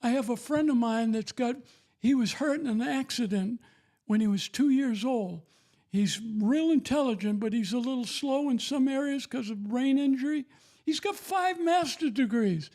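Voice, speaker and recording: High-voiced older man, preachy testimony. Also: normal speed, darkish tone. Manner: emphatic, repetitive, preachy storytelling. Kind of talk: testimony on faith and parenting.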